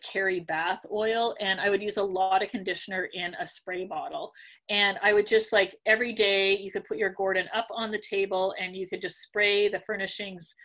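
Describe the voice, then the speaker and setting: A middle-aged woman teaching dog grooming over a webinar video call from home, chatty with many fillers and clearing her throat as she loses her voice. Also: mid-range voice, a bit bright. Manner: chatty, many ums and likes, practical. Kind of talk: casual webinar teaching, answering questions. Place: home room. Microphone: laptop webcam video call.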